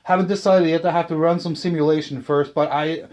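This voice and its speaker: A man talking casually and unscripted about a hobby build, thinking aloud in a hesitant, halting way as he presents. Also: fairly high pitch, steady tone, darkish tone, highs cut off. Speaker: channel host, speaker builder.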